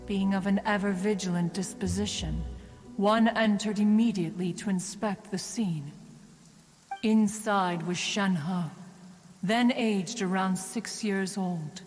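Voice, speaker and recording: A woman playing an ancient, haughty crane immortal in a video game, speaking formally in measured, archaic phrases. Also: mid-range voice, in a large hall, faint music behind, lightly acted. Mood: solemn, measured. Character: ancient, haughty female crane adeptus, aloof and wise. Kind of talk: scripted video game character acting.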